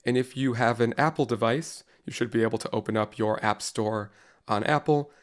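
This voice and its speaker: This is a man fighting a cold, and his voice has gone a little raspy. He gives a relaxed, friendly screen-recorded walkthrough for teachers, using plenty of easy fillers. His pitch sits in a middle range. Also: normal speed. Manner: casual, friendly walkthrough with frequent "go ahead" and fillers. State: under the weather, slightly raspy voice. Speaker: online course instructor. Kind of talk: screen-recorded software tutorial.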